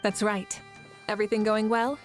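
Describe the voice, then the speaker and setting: A woman playing a composed, caring female ruler in an anime-style video game, with a measured manner. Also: medium pitch, flat delivery, natural voice. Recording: faint music behind the voice.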